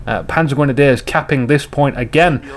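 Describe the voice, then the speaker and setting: Jokey man, slightly hoarse. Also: medium pitch, moderate pace. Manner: rambling, jokey, chats to viewers. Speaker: game commentator. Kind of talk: casual replay commentary.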